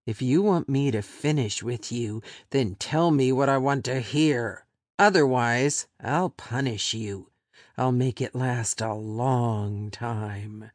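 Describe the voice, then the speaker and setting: A man's voice: takes it slowly, no echo, studio quality, lightly acted. A man doing a cold, menacing brute. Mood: threatening. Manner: low, coldly mocking, growling. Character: cruel, controlling menacing man.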